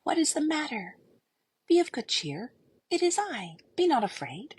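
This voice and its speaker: A woman's voice: lowish voice, very animated, moderate pace, creaky, husky, dry recording, full character voice. A woman voicing a cheerful, reassuring male shepherd in a storybook reading. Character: gentle cheerful male shepherd, strong and kind.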